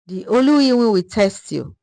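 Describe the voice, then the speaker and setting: A woman voicing a wise village elder woman, solemn and gentle, with a measured, soft but firm delivery in a folktale. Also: a little high, animated, gravelly, no echo, voice pushed up. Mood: grave.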